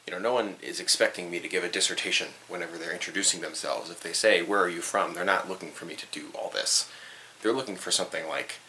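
Young guy thinking out loud, low voice, kinda bright, faint hiss behind. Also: fast, poor audio. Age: young adult. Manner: thoughtful, hesitant, repeats words while searching. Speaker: vlogger. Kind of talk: reflective personal documentary talk. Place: small bedroom indoors.

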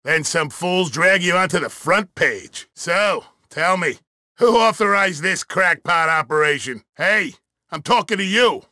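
A man playing an indignant, world-weary veteran intelligence agent, gruff and suspicious, in a video game. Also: high-pitched, expressive, measured pace, bright, forceful tone, no room sound, studio quality, full character voice.

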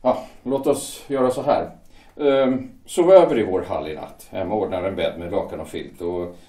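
A man's voice: low-pitched, dark tone, natural voice. An actor playing a worried shipyard foreman and family man who speaks in a hesitant, defensive way. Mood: friendly. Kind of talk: amateur audio drama acting. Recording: echoey room.

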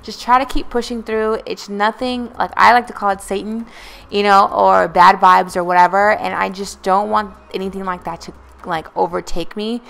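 Chatty young woman with gravelly edge. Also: mid-range voice, fairly even intonation, moderate pace, a bit bright. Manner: chatty, encouraging, many fillers. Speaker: lifestyle vlogger. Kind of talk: casual voice-over narration.

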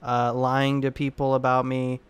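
A man's voice: mid-range voice, completely flat delivery, unhurried, smooth. A man bantering casually in game voice chat, insistent and mock-aggrieved. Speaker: online game player trolling in roleplay.

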